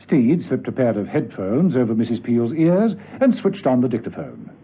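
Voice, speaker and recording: A man's voice: medium pitch, very animated, moderate pace, husky. A man giving dramatic scripted narration for a vintage spy radio serial. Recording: tinny, narrow old radio recording, faint noise.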